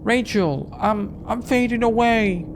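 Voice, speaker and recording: A performer voicing a ghostly young lost sister in a horror game, with a weak, frail voice and slow, drawn-out pleading. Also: mid-range voice, a little hiss or hum, pitched above the performer's own voice. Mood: urgent, fading.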